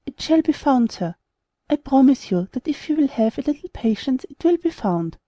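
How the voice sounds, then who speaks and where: Storybook hotel servant, male played by a woman: high, very mellow, placating, deferential, muffled recording. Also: very animated, normal speed, no room sound. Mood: anxious, placating.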